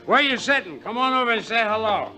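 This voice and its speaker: An actor playing a loud, boozy, taunting baseball pitcher in a vintage American radio drama, brash and slangy. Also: male voice, animated, fast, exaggerated voice. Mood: boozy, leering. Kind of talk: 1940s-50s American radio drama acting. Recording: vintage radio recording with music.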